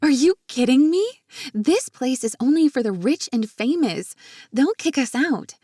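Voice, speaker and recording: A woman's voice: a little high, very animated, unhurried, a little mellow, no echo, clean studio sound, pitched above the performer's own voice, heavily acted. A woman voicing a bubbly, teasing young woman in audiobook character dialogue. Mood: excited, teasing. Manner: exclamatory, teasing. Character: bubbly, playful young woman out with her best friend.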